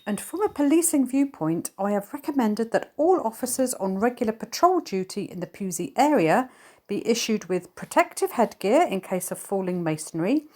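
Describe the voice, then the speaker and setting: A woman's voice: fairly high pitch, very animated, normal speed, a little mellow. A woman playing an earnest, officious village policewoman in an amateur comedy audio play, speaking in formal report language.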